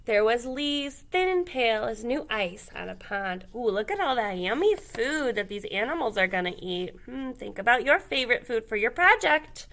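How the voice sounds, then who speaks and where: A woman reading a children's picture book aloud expressively, pausing to put questions to young listeners. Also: fairly high pitch, sing-song, fairly bright tone. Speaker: read-aloud storyteller, teacher.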